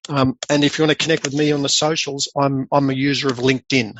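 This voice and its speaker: A man's voice: mid-range voice, little pitch movement, fast talker, lo-fi sound, background noise. A man with an Australian accent, a podcast guest talking casually and easily. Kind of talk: casual chat.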